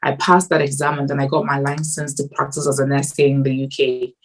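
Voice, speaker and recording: A young woman from Ghana, a nurse, explaining things in a measured way with frequent ums as an interview guest. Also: very deep voice, level tone, poor audio. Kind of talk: interview answers.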